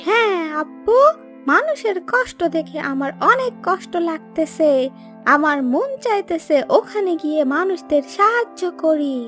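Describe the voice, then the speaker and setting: A woman's voice: very high-pitched, lively intonation, moderate pace, fairly bright tone, soft background music. A woman voicing an earnest, kind-hearted young boy in a cartoon, childlike and emphatic, with a Bangladeshi accent. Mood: concerned. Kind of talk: Bengali cartoon dubbing.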